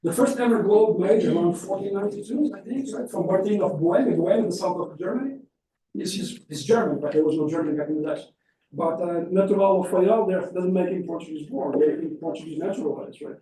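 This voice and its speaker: A man's voice: high-pitched, fast, dark tone, slight background noise. Male scholar in Q&A, explanatory, picked up at a distance in a reverberant conference room. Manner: explanatory, often tags points with "right?". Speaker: academic presenter answering questions. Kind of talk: spontaneous academic question-and-answer. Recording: echoey room, distant mic.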